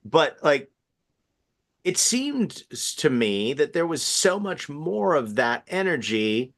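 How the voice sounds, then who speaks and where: A man in a casual panel discussion, speaking reflectively and haltingly, restarting phrases as he thinks back. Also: fairly high pitch, sing-song. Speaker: theatre panel participant.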